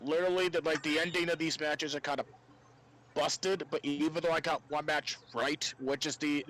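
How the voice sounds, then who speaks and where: A man's voice: a little high, fairly even intonation, moderate pace, a bit bright, slight background noise. A man chatting casually as a fan on a podcast panel, stammering and repeating words as he goes.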